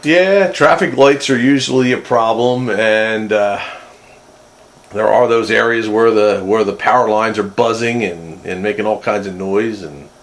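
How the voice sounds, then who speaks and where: Low-voiced man in casual radio chat. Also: a little slow. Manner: rambling, repeats words. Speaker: host of an amateur radio roundup.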